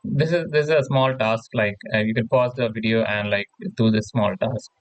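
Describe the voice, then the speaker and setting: A man giving an informal tutorial lecture in a halting, repetitive way, often checking in with the listener. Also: on the low side, fairly even intonation, no room sound, rough recording. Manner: halting, repetitive, often seeks agreement with "right?". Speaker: tutorial presenter. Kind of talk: informal lecture explaining slides.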